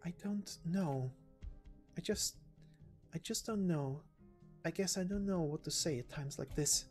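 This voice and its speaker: A reserved young archer girl from a game story, played by a woman, low in voice. She is loyal but terse, speaking bluntly or haltingly over music. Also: a little mellow, natural voice. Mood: awkward.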